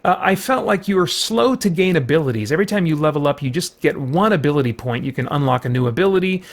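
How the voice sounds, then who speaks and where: A man with a slightly high voice talks through a game review in a casual, unscripted way. He explains in a conversational manner, qualifying his points as he goes. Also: expressive, quick pace. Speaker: host reviewing video games.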